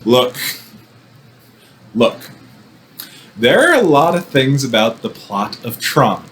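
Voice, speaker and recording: A man's voice: mid-range voice, very animated, slow pace, a bit bright, slight background noise. A man giving casual, enthusiastic film commentary in an effusive, digressive way, with playful mock-combative asides. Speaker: film reviewer presenting a show.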